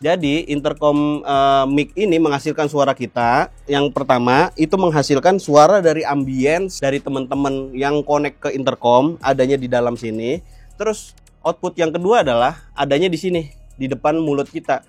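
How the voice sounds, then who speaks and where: Higher-pitched Jakarta man, casual tutorial. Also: normal speed, no echo, faint music behind. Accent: Jakarta Indonesian. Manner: colloquial, explanatory, checks in with viewers. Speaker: motovlog host.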